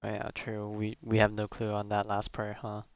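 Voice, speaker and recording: A man with a lowish, fairly flat voice chats casually as he plays a video game on stream. He thinks out loud and mutters asides as he goes. The sound is close and dry, through a slightly dull mic. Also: fairly quick, smooth, dry recording. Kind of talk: casual game commentary, reading dialogue aloud.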